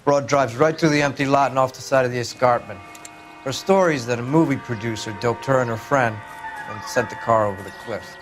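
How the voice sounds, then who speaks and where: A male actor as a plain-spoken American patrolman from a 1940s crime game. His mid-range voice reports things flatly in street slang. Soft music plays behind. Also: medium pitch, a little fast, natural voice. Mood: matter-of-fact. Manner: matter-of-fact, slangy reporting. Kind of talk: video game acting, 1940s police drama.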